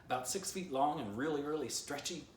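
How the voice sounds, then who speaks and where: A man voicing an excitable, scheming storybook dog who pleads and grumbles in exasperation, obsessed with catching a squirrel. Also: a little high, fairly quick, voice pushed up, natural voice. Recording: roomy, distant mic, faint noise.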